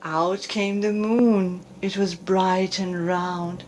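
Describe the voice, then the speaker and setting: A woman reading a children's story aloud as narrator, with dramatic and deliberate phrasing. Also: mid-range voice, steady tone, a little mellow, poor audio. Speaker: storyteller, audiobook reader.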